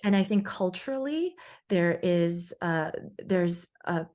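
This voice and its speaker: A woman from New York City, born in South Korea, answering interview questions in a measured, explanatory way with frequent pauses. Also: on the low side, animated, a little mellow. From born in South Korea, grew up in New York City. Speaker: guest, facial plastic surgeon.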